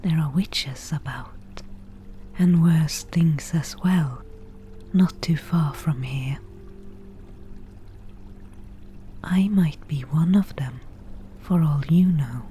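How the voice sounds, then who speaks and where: Lady doing a witch in the woods, asmr whispering, dry and teasing, real slow, faint bg noise. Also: deep voice, dark tone. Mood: wary, teasing. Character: wry, teasing forest witch brewing potions. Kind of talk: ASMR roleplay, softly whispered.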